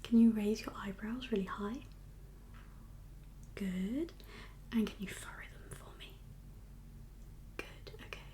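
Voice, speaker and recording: A woman whispering as a doctor in an ASMR exam roleplay, giving gentle, reassuring instructions in a breathy voice. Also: medium pitch, slow pace, pauses often. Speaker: ASMR performer playing a doctor.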